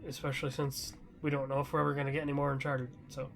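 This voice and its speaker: A man's voice: medium pitch, monotone, very fast, vocal fry. A man hosting a podcast, chatting casually about gaming news in a rambling, loosely conversational way. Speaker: podcast host.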